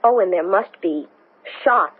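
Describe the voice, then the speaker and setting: Husky, desperate woman astronaut on old radio. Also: fairly low pitch, animated, measured pace, narrow, tinny audio. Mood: pleading, urgent. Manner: intense, dramatic, urgent. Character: desperate, intense woman astronaut hosting an alien. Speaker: radio drama actor. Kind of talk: 1970s radio drama acting. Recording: thin, band-limited 1970s radio broadcast.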